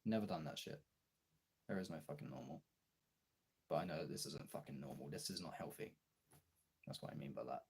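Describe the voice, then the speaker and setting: A very low-voiced man talks casually and personally, as a streamer does to viewers. His voice is husky and full of fry, and his delivery is halting and candid, with frequent swearing. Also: a little mellow. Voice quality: gravelly, husky.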